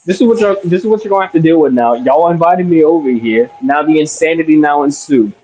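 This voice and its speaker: A man's voice: on the high side, animated, fast, mellow, poor audio. A man in his twenties in casual live-stream chat, joking around and fond of silly voices. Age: 20s.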